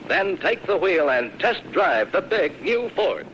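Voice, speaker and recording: A man's voice: sits high, big pitch swings, measured pace, fairly bright tone. Vintage radio ad announcer: American male, very varied delivery, slightly noisy old tape. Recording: old radio ad on cassette, faint noise.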